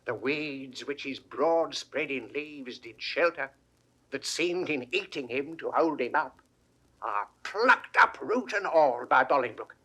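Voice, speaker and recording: An actor in a 1960s Shakespeare audio drama playing a grave, plain-spoken head gardener with deliberate, declamatory delivery. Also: male voice, mid-range voice, expressive, bright, forceful tone, husky, exaggerated voice, rough recording. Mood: grave, reflective.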